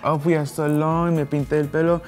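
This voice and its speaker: A man's voice: fairly high pitch, little pitch movement, fairly quick. Young man, playful bilingual banter. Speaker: grandson. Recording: faint music behind the voice.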